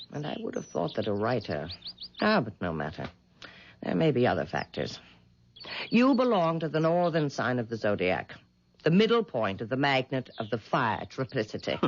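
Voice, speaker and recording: A sly old island fortune-teller. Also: female voice, huge pitch swings, moderate pace, pauses often, slight background noise, full character voice. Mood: ominous. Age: older. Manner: theatrical, sly, dramatic pitch swings. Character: eccentric old island woman astrologer, ominous. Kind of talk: 1970s radio drama acting. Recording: dull vintage radio broadcast.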